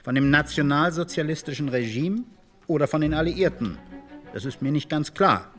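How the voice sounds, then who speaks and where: An actor playing a formal, precise committee delegate asking probing questions in a radio drama. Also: male voice, on the high side, animated, moderate pace, fairly bright tone, faint music behind. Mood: questioning, pointed. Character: probing male committee delegate, formal post-war politician. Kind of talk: radio drama re-enactment of a parliamentary debate.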